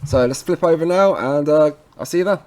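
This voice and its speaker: A man's voice: a little high, brisk, a little mellow. A man presenting a casual how-to tutorial, informal and reassuring, often checking in with little tag words.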